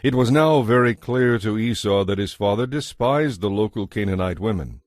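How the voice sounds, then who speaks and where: Lowish-voiced man narrating scripture. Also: lively intonation, normal speed, a little mellow, no room sound, clean studio sound.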